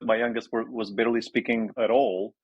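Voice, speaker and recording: A man's voice: on the low side, flat delivery, fairly quick, breathy edge, no echo, highs cut off. A man in his forties from Romania with a Romanian accent, answering interview questions hesitantly with many fillers and restarts. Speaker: guest entrepreneur.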